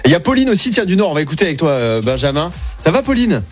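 A man hosting a lively evening phone-in radio show, joking, exclaiming and chatting affectionately with callers in a studio. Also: on the high side, very fast, slightly rough, soft background music.

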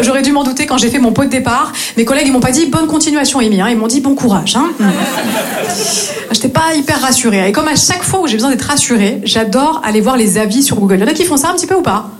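A high-pitched woman comedian performs live, with the audience clearly audible behind her. She is chatty and self-mocking, checking in with little questions as she goes. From northeastern France. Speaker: stand-up comedian. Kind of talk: stand-up comedy monologue. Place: live stage before an audience.

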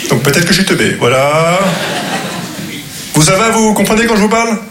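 A man's voice: high-pitched, expressive, brisk. A man from Morocco, native Arabic speaker, performing self-deprecating stand-up with posh, old-fashioned diction and a throaty, muffled resonance. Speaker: stand-up comedian. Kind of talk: conversational stand-up comedy monologue. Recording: live comedy stage, loud audience laughter. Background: laughing crowd.

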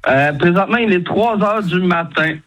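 A man's voice: high-pitched, moderate pace, a bit bright, faint music behind, poor audio. A man with a Québécois accent, a chef on the line as a radio guest at dawn, sleepy and hesitant with many fillers. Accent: Québécois French. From Quebec. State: sleepy, just woken up. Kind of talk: casual chat on the phone.

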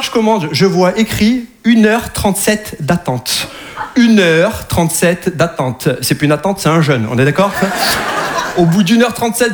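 High-voiced man doing stand-up. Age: 40s. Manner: lively, teasing the crowd, frequent asides. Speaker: stand-up comedian. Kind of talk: stand-up routine on stage. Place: comedy venue with live audience. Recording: live stage recording with audible room noise. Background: audience laughter.

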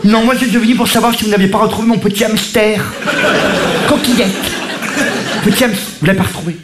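An awkward, hesitant young man with an odd fixation on animals, played by a man. He is recorded in an echoey room with loud ambient noise behind him. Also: high voice, steady tone, quick pace, close to an everyday voice. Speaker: game show contestant.

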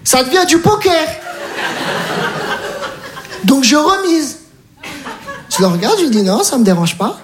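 High-voiced woman doing stand-up. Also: unhurried, pauses often. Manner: animated storytelling, acting out dialogue. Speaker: stand-up comedian. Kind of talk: stand-up routine. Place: comedy stage. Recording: noisy live recording.

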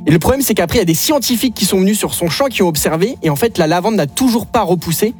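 A male radio co-host rattles through his story at high speed in a fairly high voice. He sounds animated and casual, with light music playing underneath. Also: very fast, breathy edge.

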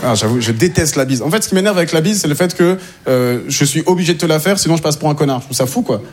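A man's voice: a little high, lively intonation, fast, noisy background. A male stand-up comedian telling everyday stories in casual slang, repeating phrases, before a live audience.

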